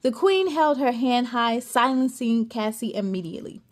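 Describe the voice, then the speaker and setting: Expressive woman reading a children's story. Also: fairly high pitch, moderate pace. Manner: expressive, with gasps and excited asides. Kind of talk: read-aloud storytelling for children.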